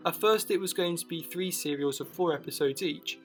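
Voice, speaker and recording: A man's voice: a little high, a little fast, a bit bright, faint music behind. A man reading scripted narration for a documentary-style video essay.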